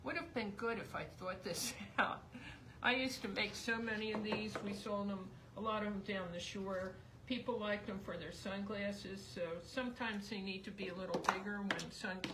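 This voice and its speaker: A woman teaching sewing live, chatty and rambling, thinking aloud as she works, with her voice going hoarse. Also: mid-range voice, fairly even intonation, normal speed, few pauses. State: losing her voice. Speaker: sewing instructor. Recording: noisy background.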